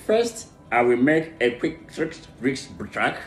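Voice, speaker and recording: A man from Nigeria with an Igbo accent, a native Igbo speaker, reads a tongue-twister storybook aloud, haltingly, stumbling over and repeating words. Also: mid-range voice, sing-song. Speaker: English pronunciation learner. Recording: echoey room, music behind.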